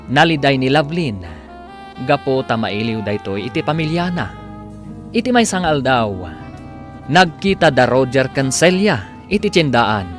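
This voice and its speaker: A man with an Ilocano accent reading scripted dramatic narration as a radio serial's announcer. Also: medium pitch. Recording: music bed behind the voice.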